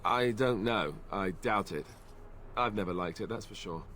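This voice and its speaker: A man's voice: on the low side, normal speed, fairly bright tone, slight background noise, close to an everyday voice. An actor playing a wry, guarded young male warrior in a video game, speaking hesitantly and awkwardly. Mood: reluctant. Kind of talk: game voice acting.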